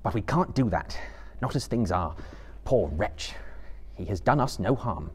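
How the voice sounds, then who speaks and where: A man voicing a resolute, merciful hobbit leader who speaks firmly and gravely in a dramatic story reading. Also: lowish voice, animated, a little mellow, a little hiss or hum. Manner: firm, measured, commanding.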